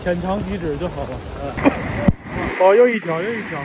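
Guy vlogging on his phone out in the pouring rain, chatty and excited, kinda high voice. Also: moderate pace, very noisy. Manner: excited exclamations, chatty asides. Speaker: travel vlogger. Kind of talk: casual on-the-go vlog chat. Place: outdoors on a hiking trail in heavy rain. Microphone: handheld phone.

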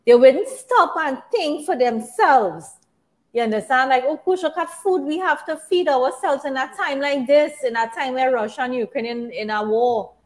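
Emphatic young woman, slightly high voice. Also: normal speed. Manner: emphatic, many short affirmations. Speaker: discussion co-host. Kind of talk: casual faith discussion. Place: indoors, virtual backdrop.

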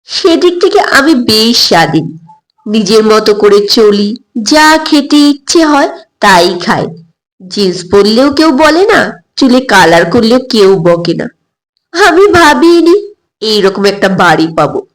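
A woman reading a scripted first-person story as narrator, in an expressive storytelling style. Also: a little high, slow pace.

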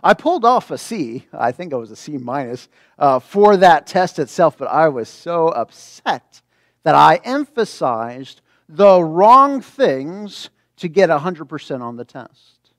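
High-pitched male preacher. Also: a little slow, no room sound. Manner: animated, acts out imagined reactions. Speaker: pastor preaching. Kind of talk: Sunday sermon with scripture reading.

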